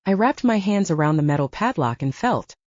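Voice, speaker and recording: A woman's voice: lowish voice, animated, brisk, a little mellow, no room sound, muffled recording. A woman narrating scripted first-person fiction as an audiobook narrator.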